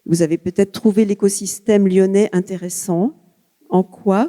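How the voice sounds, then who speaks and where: A woman moderating an art round table, asking measured questions and addressing the panelists by first name. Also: mid-range voice, fairly even intonation, warm tone. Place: museum auditorium. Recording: long reverb of a hall.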